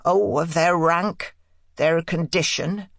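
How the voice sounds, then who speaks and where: Woman voicing a troubled, plain-spoken older housekeeper, slow-paced. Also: on the low side, creaky, no echo, studio quality, full character voice. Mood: woeful. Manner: halting, woeful, hushed dread. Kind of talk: audiobook character dialogue.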